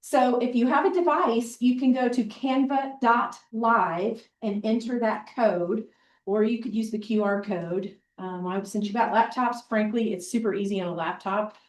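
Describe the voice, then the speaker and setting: A woman's voice: fairly high pitch, normal speed. Big-voiced woman presenting a teaching workshop. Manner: relaxed, conversational, with many ums. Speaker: librarian and workshop facilitator. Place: meeting room or classroom. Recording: roomy echo, mic far off.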